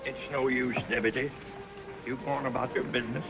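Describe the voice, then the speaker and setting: Casting: male actor as old frontier miner, American, folksy and hesitant, mid-pitched, vintage Western with score behind. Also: flat delivery, a little fast, music in the background, natural voice. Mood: dejected. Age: older. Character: regretful, folksy old miner grandfather in the Old West. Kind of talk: 1950s TV Western acting.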